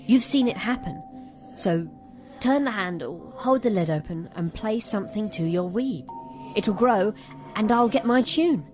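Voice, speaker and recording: A woman's voice: mid-range voice, a little mellow, natural voice. A woman playing a sly, wheedling little boy who needles his sister in an audio drama. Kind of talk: audio fiction drama acting.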